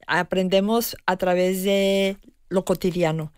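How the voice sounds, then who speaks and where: A woman in her fifties, a guest on a radio talk show, speaking in a thoughtful, measured way with frequent pauses and hesitations. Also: on the low side, fairly even intonation, darkish tone, clean tone, dry recording, studio quality. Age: 50s. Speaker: guest expert on language learning. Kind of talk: radio conversation.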